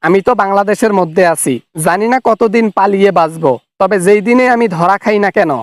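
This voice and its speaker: A man's voice: sits high, brisk, dry recording, clean studio sound, natural voice. A man voicing a defiant, accusatory fugitive official in a comic cartoon phone argument. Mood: angry, threatening. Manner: accusatory, threatening, colloquial. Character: angry, defiant fugitive male official, funny cartoon caricature.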